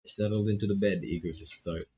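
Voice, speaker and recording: A man's voice: fairly low pitch, steady tone, fast talker, mellow, highs cut off, slight background noise. A man doing laid-back, casual live commentary on a visual novel, reading text aloud and swearing freely as he reacts.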